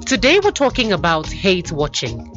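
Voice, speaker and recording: Lively woman presenting radio news. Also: on the low side, normal speed, highs cut off, soft background music. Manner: lively, clearly paced delivery.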